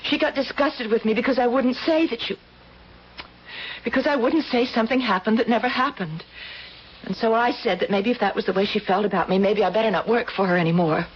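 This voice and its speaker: A woman's voice: a little high, a little fast, lightly acted. An actress playing a timid, honest young working woman in a radio drama, hesitant, earnest and defensive. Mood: uneasy. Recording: muffled, hissy old radio drama recording.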